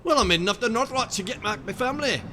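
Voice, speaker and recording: A man's voice: very high-pitched, expressive, fast talker, bright, forceful tone, slight background noise, exaggerated voice. A man playing a plucky, plain-spoken sailor in a video game, with a rustic, matter-of-fact manner. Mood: determined, matter-of-fact. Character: plucky male sailor whose family was abducted. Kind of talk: video game character dialogue.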